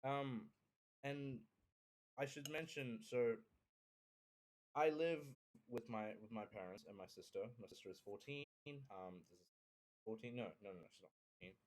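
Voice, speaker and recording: A man's voice: medium pitch, normal speed, pauses often, poor audio. A man in his early twenties from Sri Lanka who later moved to Australia, chatting casually to viewers in a hesitant, rambling way with repeated phrases. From Sri Lanka, then Kuwait and Australia. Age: early 20s. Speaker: streamer introducing himself.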